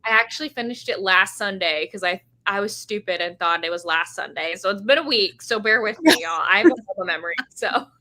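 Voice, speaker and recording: A woman's voice: medium pitch, expressive, fairly quick, few pauses, pushed and bright. A tired young woman hosting a book readalong, chatting in an informal, rambling, self-deprecating way. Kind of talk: casual chat.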